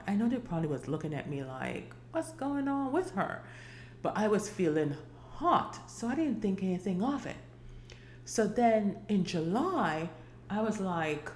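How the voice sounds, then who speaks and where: A woman's voice: medium pitch, a little mellow, a little hiss or hum. Chatty woman in her forties, echoey room. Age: 40s. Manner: chatty, rambling, with many fillers and restarts. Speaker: podcast host. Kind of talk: casual solo podcast talk.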